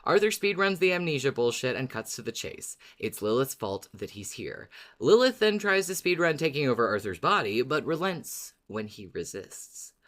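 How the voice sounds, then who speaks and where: Animated, playful podcast co-host. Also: medium pitch, moderate pace, hardly any pauses. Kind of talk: casual podcast talk and episode recap.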